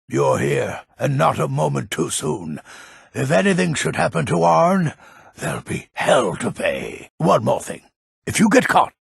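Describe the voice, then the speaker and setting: Casting: grumpy old fantasy-game schemer. Heavy rasp and gravel, emphatic theatrical grumble. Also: male voice, medium pitch, no echo, studio quality, heavily acted. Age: older. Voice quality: gravelly, husky, raspy. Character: grumpy old man, crafty merchant and schemer. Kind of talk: fantasy video game character acting.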